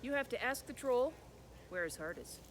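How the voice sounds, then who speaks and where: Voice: mid-range voice, animated, moderate pace, fairly bright tone, slight background noise, natural voice. A storyteller voicing a determined girl setting out to save her brothers.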